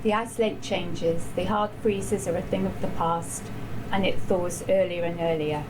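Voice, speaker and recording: A woman giving a measured literary reading at a live event in a bar. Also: fairly low pitch, fairly even intonation. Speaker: writer reading own story. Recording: live bar event, clearly noisy background.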